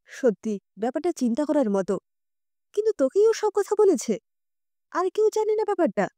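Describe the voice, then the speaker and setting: A woman's voice: sits high, very animated, moderate pace, mellow, dry recording. A woman voicing a concerned, resolute mother in an audio story, probing and decisive. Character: concerned, resolute mother questioning her daughter.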